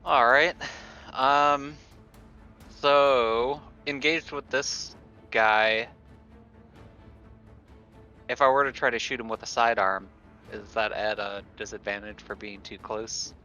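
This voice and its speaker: A man's voice: mid-range voice, steady tone, slow pace, pauses often, sharp tone. A man playing a tabletop game, chatting casually and thinking aloud with some hesitation. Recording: slightly dull audio, music behind.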